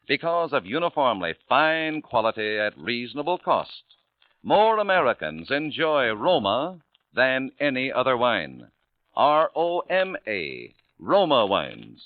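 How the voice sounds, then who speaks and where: A man announcing a scripted 1940s radio advertisement in a polished, emphatic, deliberate sales style. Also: medium pitch, no echo. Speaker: commercial announcer. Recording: tinny narrowband old radio recording.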